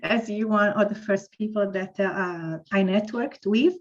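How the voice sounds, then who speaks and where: A woman's voice: medium pitch, a little slow. Italian-accented woman, reflective, lo-fi sound. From Italy. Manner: reflective, searching for words. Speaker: panel speaker. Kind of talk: telling her career story. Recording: dull lo-fi audio.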